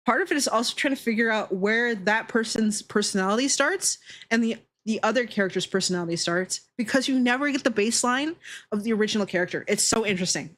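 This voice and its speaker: A woman livestreamer talking casually to her viewers in an animated, opinionated, sweary way. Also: mid-range voice. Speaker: livestreamer hosting a Q&A.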